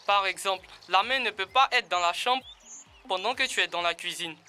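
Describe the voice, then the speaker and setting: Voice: mid-range voice, moderate pace, bright, forceful tone, smooth, soft background music. An actor voicing an earnest, encouraging young animal team leader in an educational children's cartoon, explaining things in a didactic way.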